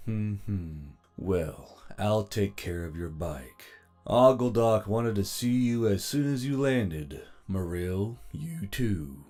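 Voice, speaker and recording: A woman performs a huge cyborg man in an audio story. The voice is deep and robotic, with curt, sarcastic delivery that needles whoever is listening. Faint music plays underneath. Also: slow pace, warm tone. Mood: curt, official. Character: giant male cyborg robot man, dry, mocking, metallic.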